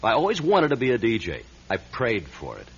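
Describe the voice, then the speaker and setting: A man's voice: mid-range voice, wildly swooping pitch, breathy edge, full character voice. A man playing a brash, pushy, self-assured aspiring disc jockey in a radio drama, eager and insistent. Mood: cocky, excited. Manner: eager, insistent, fast-talking salesman. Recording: dull old radio recording.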